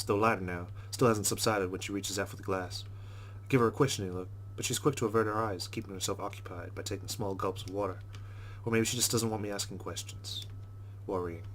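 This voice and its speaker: Fast-talking low-voiced man reading game narration. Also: a bit bright, a little hiss or hum, rough recording. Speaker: let's play host. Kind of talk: reading game narration aloud with casual asides.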